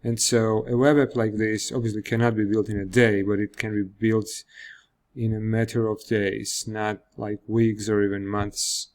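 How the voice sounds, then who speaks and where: A man with a Georgian accent, a native Georgian speaker, walking viewers through a web app in a screen-recorded demo, explaining with pauses and fillers. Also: fairly low pitch, flat delivery, darkish tone. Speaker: software developer demoing a product.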